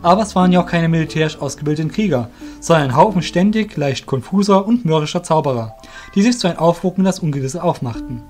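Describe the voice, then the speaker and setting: A man's voice: fairly high pitch, lively intonation, quick pace, a little mellow. A man narrating a scripted fantasy story as the narrator of an audio drama. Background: music.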